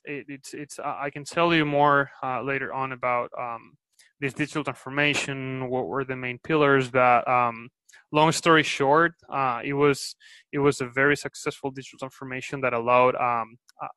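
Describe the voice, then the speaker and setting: A man's voice: medium pitch, bright, forceful tone, clean tone, dry recording, poor audio. A man from Spain with a Spanish accent, answering interview questions in a hesitant way with many restarts and fillers. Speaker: guest, company executive.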